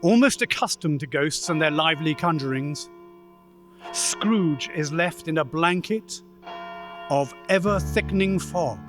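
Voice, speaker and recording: A man plays a solemn storyteller with a fairly high voice and a slow, measured delivery. He narrates a ghostly radio play dramatically, over faint music. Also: fairly bright tone.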